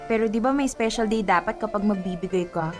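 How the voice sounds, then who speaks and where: An actress playing a guileless, playful young woman in a radio drama, chirpy and expressive. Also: mid-range voice, a little fast, natural voice. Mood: playful, sweet. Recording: faint music behind.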